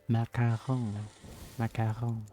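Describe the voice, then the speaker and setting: A man's voice: medium pitch, flat delivery, takes it slowly, over loud music. A man giving casual playthrough commentary in short, murmured reactions, often repeating words, into a close microphone. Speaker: gaming video maker.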